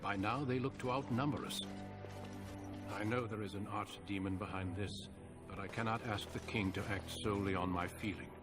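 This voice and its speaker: A man performs a seasoned commander in a fantasy video game, grave and formal. His voice sits on the low side, and he speaks with measured solemnity. Music plays clearly behind him. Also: steady tone, natural voice. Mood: grave, resolute. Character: grave, seasoned male commander of a warrior order. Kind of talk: fantasy game dialogue and scripted narration.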